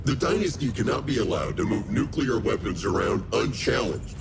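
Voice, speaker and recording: An actor playing a stern, indignant military director in a video game cutscene. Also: male voice, medium pitch, animated, normal speed, vocal fry. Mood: indignant, demanding. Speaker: director in command. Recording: loud music behind.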